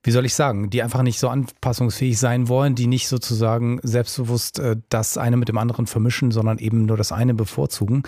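A man interviews a guest in a conversational, tentative way, thinking aloud. His tone is mellow and husky, recorded very dry and close. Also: medium pitch, little pitch movement, fast, hardly any pauses, no room sound. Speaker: interviewer, host.

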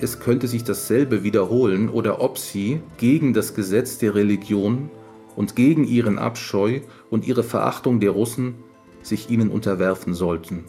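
A man reading a literary passage in an even narrative delivery. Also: on the low side.

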